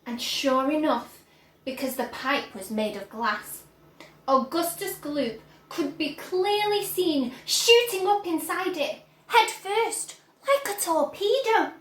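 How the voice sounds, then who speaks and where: A young woman reading a children's story aloud with expressive, dramatic delivery. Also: high-pitched, takes it slowly. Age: young adult. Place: bright plant-filled room at home. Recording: roomy indoor echo.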